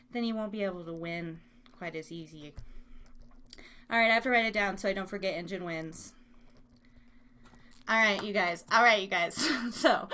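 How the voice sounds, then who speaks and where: Female live radio host: high-pitched, playful, rambling and self-teasing chat. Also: sharp tone. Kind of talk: casual live show chat.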